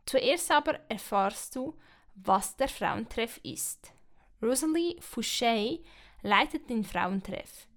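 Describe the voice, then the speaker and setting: A woman with a Swiss German accent, presenting and narrating a community radio feature in a clear, even way. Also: on the high side, slow. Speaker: radio host.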